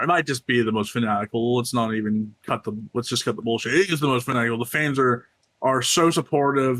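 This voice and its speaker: Mid-pitched male esports co-host. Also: a bit bright. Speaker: show co-host and reporter. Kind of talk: casual talk-show conversation.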